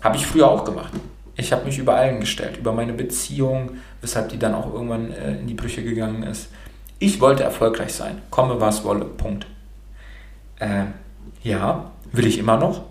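A Romanian-born man raised bilingual in Germany talks with a rolled R, frank and loose, dropping curse words and fillers. His voice sits at an ordinary pitch for a man. The recording carries a noticeable room echo. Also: fairly even intonation, normal speed. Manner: frank, with casual swearing and many fillers. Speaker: podcast host being interviewed. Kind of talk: casual motivational talk.

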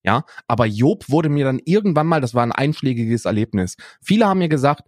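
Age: young adult. Role: podcast co-host. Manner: rambling casual chat, lots of "ne?" and "bro", middle pitch. Sound: dry, close mic with no room at all. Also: male voice, very animated, fairly quick, a little mellow, dry recording. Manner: rambling, tags lines with "ne?" and "Bruder".

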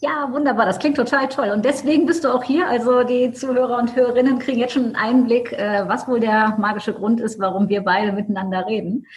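A woman hosts a business podcast with an effusive, enthusiastic manner. Her voice sits on the higher side. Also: steady tone, fairly quick, few pauses. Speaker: podcast host. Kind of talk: podcast interview.

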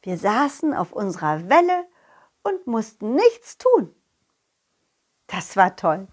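A woman voicing a confident, loyal dog who tells his adventures directly to children in an animated, childlike way. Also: medium pitch, slow, voice pushed down. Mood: storytelling. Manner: childlike, animated, addresses young listeners directly. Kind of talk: children's audio story narration.

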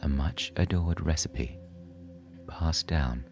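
A man narrating a scripted bedtime sleep story in a soothing, hushed, unhurried storytelling manner. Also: rumbling low. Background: soft relaxation music.

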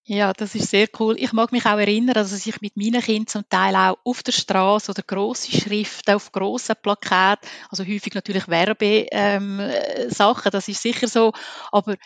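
A woman's voice: mid-range voice, fairly even intonation, moderate pace, creaky, dry recording. A woman of Italian origin who hosts a conversational interview and chats in a personal way, often ending with a question tag. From Italy. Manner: chatty, personal, tags remarks with questions. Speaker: podcast host.

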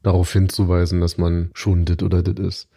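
A man's voice: low-pitched, monotone, fairly quick, gravelly, mellow, dry recording, studio quality. A man with a Berlin accent co-hosting a podcast in casual chat, blunt and joking, saying whatever comes to mind. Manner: blunt, loose-mouthed, joking. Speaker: podcast co-host.

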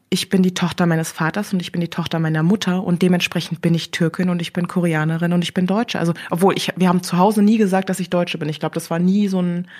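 Hesitant, soft-spoken woman, low voice. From Germany. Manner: hesitant, searching for words with many fillers. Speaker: interview guest. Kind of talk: reflective interview conversation.

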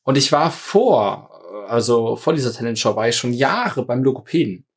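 A man telling a story in a relaxed podcast chat, laughing often. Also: medium pitch, moderate pace, husky. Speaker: podcast co-host, magician.

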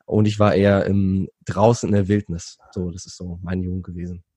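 Low, husky man telling his story. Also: level tone, a little fast, dark tone, no room sound. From Berlin, Germany. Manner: rambling, many fillers and restarts, chuckles now and then. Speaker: interview guest. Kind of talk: relaxed conversational storytelling.

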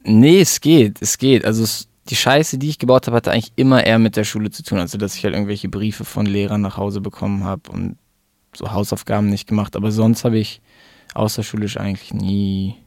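A man in his twenties talks about his life in an easy, rambling way, with plenty of fillers and restarts. His voice sits low and barely moves in pitch. The sound is close and dry. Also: level tone, a little fast, creaky, darkish tone, dry recording. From Germany. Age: 20s. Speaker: rapper guest. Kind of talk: casual interview chat.

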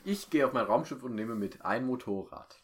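A man's voice: mid-range voice, animated, normal speed. A man chatting casually as a co-host, dropping in short, dry and wry remarks. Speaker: podcast co-host. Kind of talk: casual chat with short interjections.

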